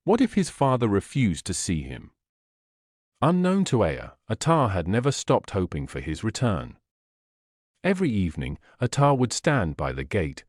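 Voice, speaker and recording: A man narrating a scripted folktale in a dramatic, measured storytelling manner. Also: lowish voice.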